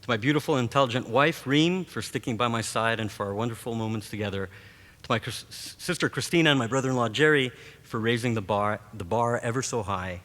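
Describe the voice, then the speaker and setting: A man from Montreal whose first language is Greek, giving a formal graduation address as a professor in a measured, rhetorical way. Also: medium pitch, fairly bright tone. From Montreal, Canada. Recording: long reverb of a large hall.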